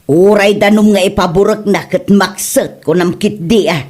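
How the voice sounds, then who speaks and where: A woman's voice: mid-range voice, fairly even intonation, moderate pace, darkish tone, lightly acted. A woman playing a plain-spoken member of a household in a family comedy radio drama, chiding matter-of-factly with an Ilocano accent.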